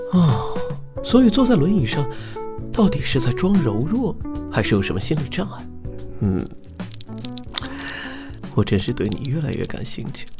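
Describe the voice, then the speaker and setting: A male actor voicing a cocky, shameless gangster with a teasing, suggestive manner in an animated drama. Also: a little high.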